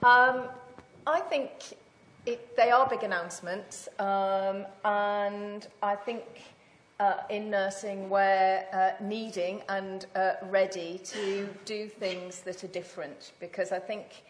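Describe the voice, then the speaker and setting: A woman in her sixties, a senior British nurse, answering on a panel in a loud, measured voice with frequent fillers and restarts. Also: medium pitch, steady tone, a little hiss or hum. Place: lecture hall. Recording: echoey hall.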